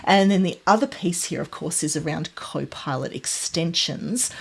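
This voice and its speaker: A woman's voice: low voice, expressive, moderate pace, creaky, breathy edge. A woman presenting tech news as a casual explainer, chatty, informal and enthusiastic. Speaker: tech presenter recapping announcements. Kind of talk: casual explainer commentary with demos.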